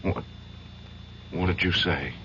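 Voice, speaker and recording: An actor playing an earnest, conscientious young defense lawyer in a radio drama, polite but capable of firm indignation. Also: male voice, low-pitched, slow pace, bright, forceful tone, exaggerated voice. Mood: shocked, indignant. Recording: dull, noisy old radio broadcast recording.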